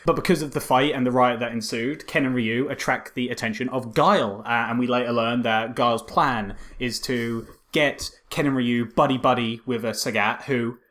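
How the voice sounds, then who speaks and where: A man's voice: medium pitch, fairly even intonation, normal speed, few pauses, a bit bright. A man co-hosting a casual, jokey film discussion in a conversational way, repeating words as he thinks. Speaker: podcast co-host reviewing films.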